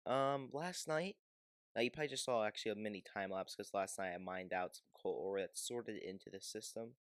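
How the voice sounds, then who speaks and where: A man with a fairly low voice hosts a gaming video. He talks casually and loosely, rambling and hesitating as he explains things. The recording is dry, with very little room sound. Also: a little fast, no room sound. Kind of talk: casual game video commentary.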